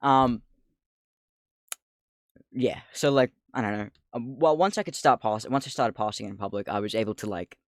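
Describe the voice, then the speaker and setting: A young man with a deep voice talks loosely into a desk mic at home, his delivery rambling and easily distracted, full of laughs and swearing. The sound is dry and close, with no room around it. His pitch sits in an ordinary middle range for a man. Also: normal speed, pauses often, no room sound. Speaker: streamer. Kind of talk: casual livestream chat.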